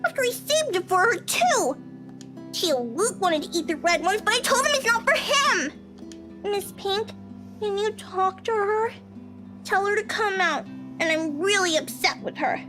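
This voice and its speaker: A man voicing a tearful, sulky little girl in a visual novel dub, high and whiny with sobs. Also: expressive, a little slow, over music. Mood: pleading. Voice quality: high childlike voice. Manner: sobbing, whiny.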